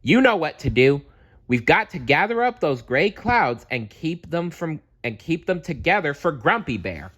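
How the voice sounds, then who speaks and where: A man voicing an excitable, caring young female bear from a children's storybook, earnest and pleading. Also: a little high, normal speed, pitched above the performer's own voice. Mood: rallying. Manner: exclamatory, pleading.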